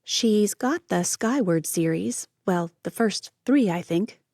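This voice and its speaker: A woman voicing a chatty young American woman in an audiobook, lively and quick to tease. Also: medium pitch, no room sound, clean studio sound. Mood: teasing. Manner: lively, teasing, quick to banter. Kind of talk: audiobook character voice.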